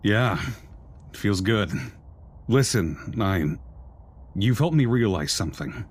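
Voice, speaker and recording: A man voicing an earnest, world-weary outcast soldier in a video game, low and reflective. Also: expressive, slow, breathy edge, exaggerated voice. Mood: reflective, resolute. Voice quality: low-pitched.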